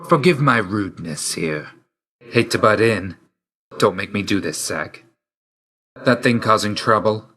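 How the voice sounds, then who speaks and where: A man's voice: fairly low pitch, expressive, a little slow, pauses often, a bit bright. A man voicing a grim, reserved god of death in a video game, speaking tersely and solemnly with dry loyalty. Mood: cool, terse. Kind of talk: scripted video game voice lines.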